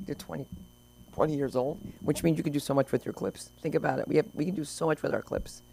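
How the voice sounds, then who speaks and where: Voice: medium pitch, fast talker, vocal fry, a little mellow, slight background noise, poor audio. An open mic host chatting casually and playfully with the comics between sets. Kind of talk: casual chatty hosting banter. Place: small comedy open mic.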